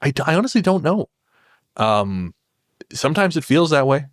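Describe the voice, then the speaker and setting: A man's voice: on the high side, very animated, normal speed, no echo, studio quality. A man speaking as a podcast guest, telling personal stories in a casual, reflective and wry way. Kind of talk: casual conversational storytelling.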